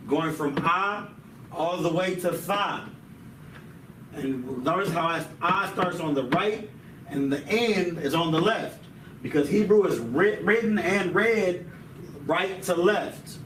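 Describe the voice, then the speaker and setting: A man's voice: fairly high pitch, echoey room, recorded from a distance, slight background noise, low-quality recording. A man teaching a Hebrew language class in a casual style, often tacking on "man" and "you know what I'm saying.".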